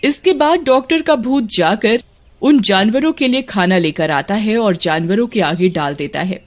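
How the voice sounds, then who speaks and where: A woman's voice: medium pitch, expressive, moderate pace. A woman reading scripted story narration as a storyteller. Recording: music behind the narration.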